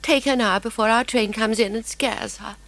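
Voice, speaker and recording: A woman's voice: fairly high pitch, steady tone, moderate pace, gravelly, fairly bright tone. A woman playing a fussy, gushing wife who dotes on her poodle, effusive and fluttery, in a children's audio story. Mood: doting, fussing.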